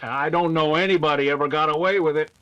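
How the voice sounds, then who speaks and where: A man doing a folksy, hesitant gang henchman in a radio adventure, heard on slightly dull audio. His voice is sharp and a bit high for a man, with a greedy streak. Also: steady tone, fast, smooth, natural voice. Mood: wary, doubtful. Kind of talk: old-time radio adventure acting.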